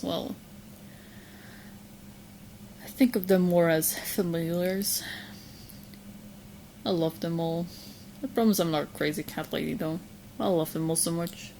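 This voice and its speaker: A woman acting as a lovesick yandere witch with a fairly low, young voice. She talks sweetly and playfully to her beloved in an ASMR audio roleplay, possessive underneath the cuteness. Noticeable background noise sits behind her. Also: measured pace, creaky, pauses often, a little mellow, rough recording. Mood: cheerful, obsessive. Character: sweet, romantically obsessed yandere witch girlfriend. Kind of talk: ASMR roleplay audio drama, spoken to the listener.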